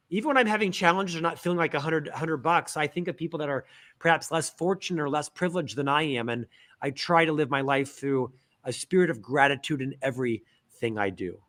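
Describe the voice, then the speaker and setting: A middle-aged man from Central Florida, a guest author in a casual interview, telling lively stories with self-deprecating humor. He has a stutter. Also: a little high. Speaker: guest, author and podcast host.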